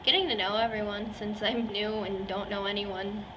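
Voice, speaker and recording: A woman's voice: mid-range voice, level tone, moderate pace, a crowd in the background, low-quality recording. A woman newcomer chatting casually as she answers an interview question. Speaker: new festival participant being interviewed. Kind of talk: casual chat. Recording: clear background noise around the voice.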